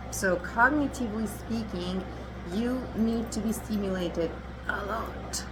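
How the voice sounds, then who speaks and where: A woman's voice: medium pitch, a little slow, background noise. A young adult woman whose first language is Italian, doing a chatty, assertive tarot reading and laughing often. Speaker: tarot reader.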